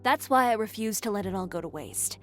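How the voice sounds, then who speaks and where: A woman's voice: mid-range voice, animated, brisk, fairly bright tone, natural voice. A woman playing a blunt, fiercely determined young female fashion designer in a video game, composed, dry and self-assured. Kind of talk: video game character acting. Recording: game music behind voice. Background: game music.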